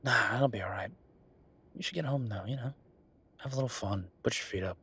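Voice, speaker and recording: A man playing an easygoing, affectionate werewolf bureaucrat in an intimate boyfriend roleplay audio, talking casually and haltingly with a husky voice. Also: mid-range voice. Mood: weary, reassuring.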